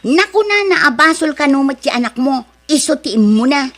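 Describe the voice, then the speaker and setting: A woman's voice: high-pitched, animated, normal speed, a bit bright. A middle-aged woman with an Ilocano accent acting a proud, scornful rich mother in an audio drama. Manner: haughty, scornful, dismissive.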